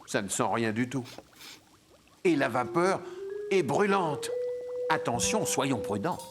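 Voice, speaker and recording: A narrator telling a children's cartoon story in a gentle, lively way. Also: mid-range voice, slow, heavy background noise.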